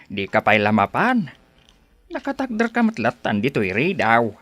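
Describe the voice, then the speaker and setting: A man's voice: mid-range voice, huge pitch swings, normal speed, fairly bright tone, dry recording. An actor playing a fussing, caring middle-aged father with a Filipino accent in a radio drama. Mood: nagging.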